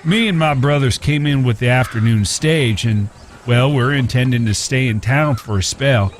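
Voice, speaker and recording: A man's voice: medium pitch, moderate pace, slight background noise, natural voice. Guy playing a smitten polite cowboy drifter, western american accent, folksy, medium voice, radio play with animal ambience in back. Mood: courteous, smitten. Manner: polite, folksy, old-fashioned courtly speech. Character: courteous, smitten Old West drifter with a rough past. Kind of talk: audio drama acting. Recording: clear background ambience with animal sounds.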